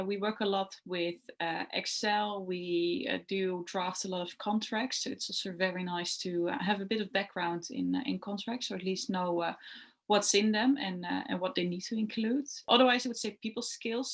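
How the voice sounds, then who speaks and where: A young woman whose first language is Dutch talks through her job in an interview. Her voice sits in a middle range, and she hesitates often, filling gaps with "uh" and repeating words before finishing her thought. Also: medium pitch. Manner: hesitant, many "uh" fillers and restarts. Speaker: translation rights executive. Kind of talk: interview answers.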